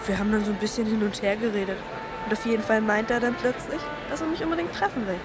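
An actress in a radio drama playing a giddy young woman, chatting girlishly and excitedly to a friend. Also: mid-range voice, quick pace, loud music behind the voice, lightly acted. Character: giddy young woman reporting a crush's phone call.

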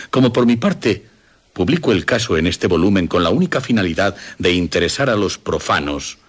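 Deep, husky Victorian scholar. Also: male voice. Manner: formal, measured, grave. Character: scholarly Victorian editor reading his solemn manuscript prologue. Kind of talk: dramatised literary reading.

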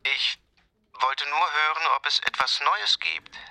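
A man's voice: a little high, very animated, measured pace, pushed and bright, full character voice, poor audio. An actor in a radio drama playing an anxious, polite father, hesitant and apologetic, through a telephone filter. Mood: subdued, anxious. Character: anxious, polite father seeking news from police. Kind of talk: naturalistic radio drama acting.

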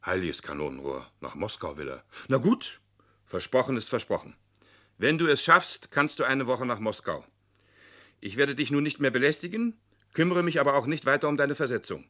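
Bright-voiced, stern father. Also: mid-range voice, animated, normal speed. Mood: astonished, resigned. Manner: emphatic, admonishing, plain-spoken. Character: stern but fair father lecturing his teenage son. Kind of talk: German radio play acting.

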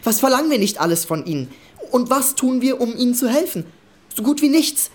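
Earnest, higher-voiced comrade. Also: female voice, sing-song, a little mellow. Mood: earnest, pleading. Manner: earnest, insistent, slightly halting. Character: earnest young party comrade, shepherd's child, pleading for fairness. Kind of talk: German radio drama acting.

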